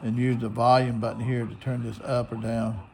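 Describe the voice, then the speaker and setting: A man's voice: medium pitch, level tone, normal speed, darkish tone. An American man giving a casual, unscripted tutorial, explaining things step by step. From United States. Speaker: shortwave radio hobbyist host. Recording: clear radio static and hiss.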